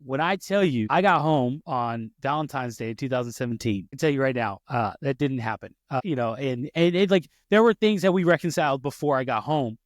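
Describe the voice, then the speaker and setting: A man in his thirties hosts a podcast in a casual, conversational way, drifting into personal stories and stopping to correct himself. His voice sits at an ordinary middle pitch. It is recorded close and dry, with no room sound. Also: animated, a little fast, few pauses, no room sound. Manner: storytelling, frequent restarts and self-corrections. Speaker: podcast host.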